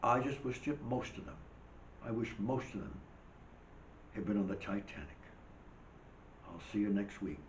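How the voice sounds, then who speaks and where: A man's voice: lowish voice, expressive, moderate pace, long pauses, a little hiss or hum. An older man hosting a monologue show, rambling with emphatic, wry asides while losing his voice. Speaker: show host. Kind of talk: monologue commentary. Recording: dull echoey room recording.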